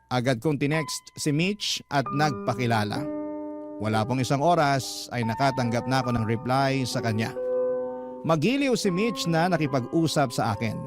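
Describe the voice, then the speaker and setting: A Filipino man playing a lovestruck storyteller, recounting his romance in a measured, confessional Tagalog radio drama narration. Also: medium pitch, animated, lightly acted. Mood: reflective, hopeful. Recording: music behind the voice.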